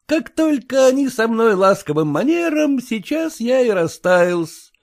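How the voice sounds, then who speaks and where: A man's voice: very high-pitched, very animated, measured pace, voice pushed up, heavily acted. A man voicing a stubborn, fawning petty clerk who haggles and whines over money. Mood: wheedling haggling. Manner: haggling, whining, obsequious.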